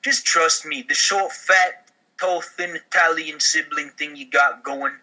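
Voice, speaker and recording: Man putting on a sharp, sleazy mafia recruiter. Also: a little high, steady tone, unhurried, low-quality recording. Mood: explanatory, conniving. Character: sleazy fast-talking male mafia recruiter, slick and pushy. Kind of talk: amateur comedy table read.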